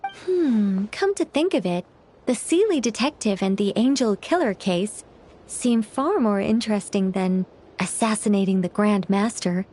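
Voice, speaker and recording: A woman's voice: mid-range voice, animated, unhurried, dark tone. A woman playing an eccentric, theatrical novelist in a video game, speaking formally and with great self-assurance. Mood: matter-of-fact, musing.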